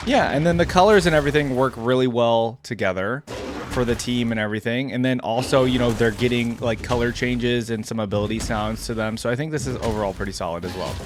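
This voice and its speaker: A man hosting a gaming reaction video, commenting casually off the cuff and thinking out loud with easy surprise. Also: mid-range voice, fast, music in the background. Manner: easily amused, thinks out loud, exclaims with surprise.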